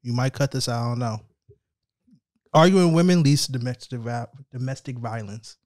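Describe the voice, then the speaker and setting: A man's voice: mid-range voice, normal speed, darkish tone. A man co-hosting a podcast in casual banter, teasing the others and laughing a lot. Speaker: podcast co-host.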